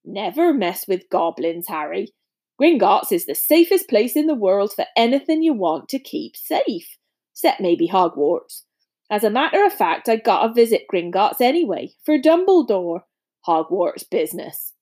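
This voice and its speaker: A woman voicing a big, friendly, gruff giant man with colloquial, rustic speech in a storybook reading. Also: mid-range voice, sing-song, normal speed, darkish tone. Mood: proud, earnest. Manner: colloquial, dropped words, grunting.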